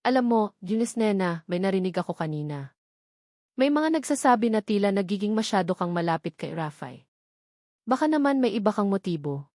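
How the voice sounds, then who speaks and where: A woman's voice: mid-range voice, animated, brisk, darkish tone, no room sound, lightly acted. A scheming female rival from a romance storybook, voiced by a woman narrator. She is jealous and taunting, hiding spite under false concern. The sound is dry and close.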